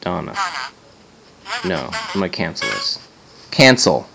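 Low gravelly-voiced man, offhand and sardonic. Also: wildly swooping pitch, slow, music in the background, poor audio. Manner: sardonic, exasperated, offhand, swears. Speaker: gadget reviewer. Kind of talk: casual hands-on product demo.

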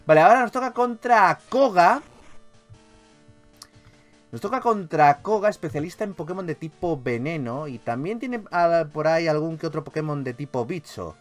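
Male gaming YouTuber, Castilian accent, highly animated, slightly high voice, free with swearing, music underneath. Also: normal speed. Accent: Castilian Spanish from Spain. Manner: animated, expressive, swears freely. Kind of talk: excitable let's play commentary.

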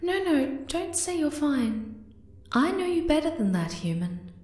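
Woman playing a sweet shy moth-girl, fairly high voice, hesitant, tender, ASMR roleplay, roomy echo. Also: sing-song, unhurried. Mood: gentle, comforting. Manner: hesitant, tender, soft-spoken.

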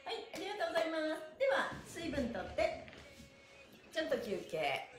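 A woman's voice: sits high, huge pitch swings, moderate pace. A middle-aged woman leading a live stretching class, cheerful and encouraging as she chats with viewers. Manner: cheerful, smiling and laughing often, chanting encouragement. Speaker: stretching instructor and livestream host. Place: small indoor room with bare walls. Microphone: camera or phone a few steps away. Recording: roomy echo, recorded at some distance.

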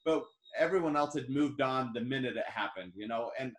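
A man's voice: medium pitch, fairly quick. A man in his forties hosting an interview over a video call, speaking earnestly and encouragingly. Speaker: host. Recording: echoey room on a video call.

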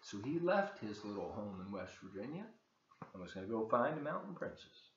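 Male, 70s, folksy children's story reader, lively intonation, roomy dull home webcam sound. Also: fairly low pitch, a little fast, a little hiss or hum. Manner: folksy, expressive, addressing young listeners. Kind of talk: children's read-aloud with chatty asides. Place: open living room and kitchen, indoors. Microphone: webcam at desk distance.

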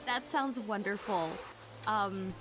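A woman's voice: mid-range voice, slow, background noise, natural voice. A woman voicing a sweet young princess in an improvised fandub, rambling in a giggly, flustered way. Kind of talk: improvised real-time fandub.

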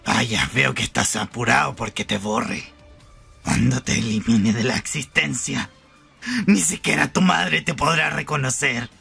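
A man voicing a sadistic, possessive young anime villain with a gravelly, menacing, gloating delivery. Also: medium pitch, measured pace, bright, forceful tone, faint music behind, exaggerated voice.

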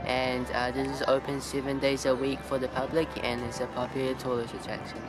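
A teenage young man giving informal, halting tour commentary as a travel vlogger, speaking outdoors to a camera. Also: medium pitch, level tone. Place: outdoors on an open paved plaza. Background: music.

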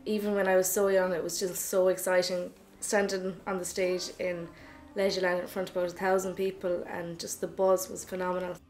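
A woman's voice: on the low side, little pitch movement, fairly quick, a little mellow, soft background music. A young Irish woman recalling amateur stage experiences in a casual interview style. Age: young adult. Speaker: amateur pantomime performer.

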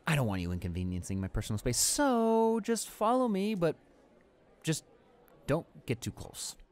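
A young man playing a cocky school bully with a hidden soft spot in an audio roleplay, brash and halting as he talks. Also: fairly high pitch, very animated. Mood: haughty. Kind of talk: dramatic audio roleplay, monologue.

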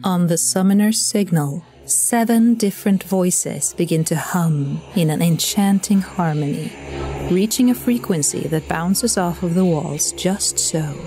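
A woman narrates a scripted audio drama. Her voice is low and mellow, with soft music underneath. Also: measured pace, poor audio.

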